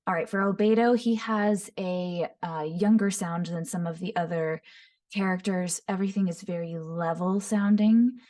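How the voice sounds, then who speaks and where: A woman voice actor teaching voice impressions, describing each voice in short phrases and often breaking into quick character demos. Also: lowish voice, steady tone, warm tone. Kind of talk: voice impression tutorial. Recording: voice somewhat far from the mic.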